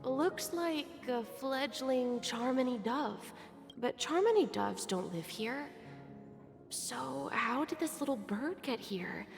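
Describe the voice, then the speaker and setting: High-voiced, serious young boy. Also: expressive, slow pace, vocal fry, heavily acted. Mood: thoughtful. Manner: careful, serious, gentle. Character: thoughtful, sensible young boy, a scholarly brother. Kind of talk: scripted video game voice acting. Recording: hall reverb under faint music.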